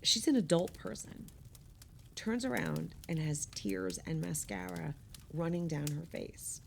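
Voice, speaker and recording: An actress playing a teasing wife who tells stories emphatically and conversationally among friends in a naturalistic audio play. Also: female voice, on the low side, lively intonation, measured pace, gravelly, slight background noise, heavily acted. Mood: amused, exasperated.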